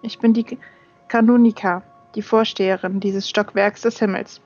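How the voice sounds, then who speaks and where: A woman voicing an elderly, wiry canoness with a warm, courteous temper in a fantasy audiobook. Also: medium pitch, steady tone, normal speed, close to an everyday voice. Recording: dull lo-fi sound, music behind.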